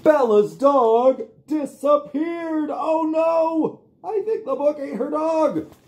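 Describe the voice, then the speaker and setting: A middle-aged American man reading a picture book aloud to young children in an animated, encouraging way. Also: very high-pitched. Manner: animated, exclaiming, simple encouraging talk to little ones. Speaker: children's librarian reading online storytime. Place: small plain room indoors.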